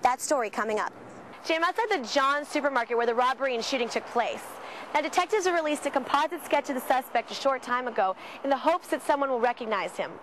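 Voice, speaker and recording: A woman's voice: high voice, quick pace, a bit bright, slight background noise, low-quality recording. A young American woman reporting scripted TV news in a formal, enunciated newscaster delivery. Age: young adult.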